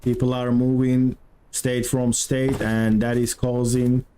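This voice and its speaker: A man from Turkey with a thick Turkish accent, chatting with viewers during a live game stream in halting non-native English. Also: mid-range voice, little pitch movement, warm tone, slight background noise. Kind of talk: live stream chat while playing.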